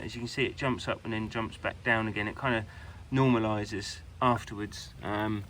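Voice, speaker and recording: A British man giving a casual hands-on product review in an informal, halting way. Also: lowish voice, pushed and bright, a little hiss or hum.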